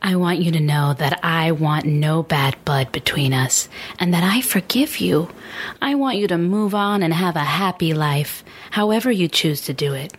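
A woman acts out a wounded ex in a comic radio play about a breakup. The voice is a bit low, and the ex holds on to a strained civility over real bitterness. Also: moderate pace, slightly rough. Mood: coolly formal. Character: bitter ex-partner feigning civility after a breakup. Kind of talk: dramatic reading of breakup messages.

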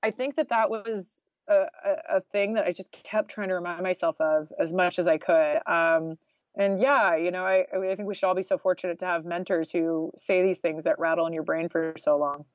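A woman's voice: lowish voice, steady tone, moderate pace, no room sound, lo-fi sound. A woman talking casually and informally as an interview guest, peppering her speech with "like" and "you know". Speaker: guest author and journalist.

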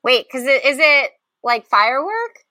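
A woman's voice: sits high, very animated, measured pace, bright, forceful tone, no echo, studio quality. A woman co-hosting a podcast in casual chat, talking in a gushing, enthusiastic way. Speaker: podcast co-host.

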